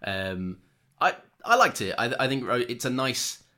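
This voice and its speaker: A man's voice: medium pitch, moderate pace. A man co-hosting a casual podcast, laughing often and acting out characters' lines as he tells the story. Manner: laughing, animated storyteller who acts out lines. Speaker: podcast co-host. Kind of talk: casual chat.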